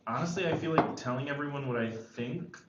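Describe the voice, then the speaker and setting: A man playing an earnest, hesitant high school senior boy in a screenplay table read. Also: brisk, natural voice. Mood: candid, hesitant. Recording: echoey, dull, faintly noisy room recording.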